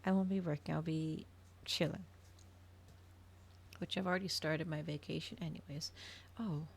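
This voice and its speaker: A woman's voice: sits low, steady tone, normal speed, gravelly, slight background noise. A woman livestreamer chatting casually to her viewers, rambling and thinking aloud as she goes. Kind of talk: casual chat to stream viewers.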